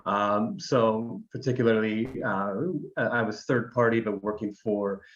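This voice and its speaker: A man in his thirties from Alabama speaks in a lowish voice. He rambles loosely, full of ums and restarts. The sound is dull and lo-fi. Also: steady tone, unhurried. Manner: rambling, many ums and repeated words. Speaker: guest, design firm founder. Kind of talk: conversational interview.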